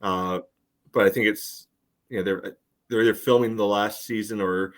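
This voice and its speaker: A man co-hosting a sports podcast, giving casual commentary in a halting, filler-heavy way. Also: fairly low pitch, fairly even intonation. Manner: halting, peppered with "you know". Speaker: podcast co-host.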